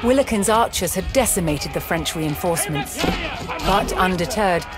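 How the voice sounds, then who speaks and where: A woman reading scripted historical narration for a strategy game, telling the story in a measured, deliberate way. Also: fairly low pitch, expressive. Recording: studio voice-over with music underneath. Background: music.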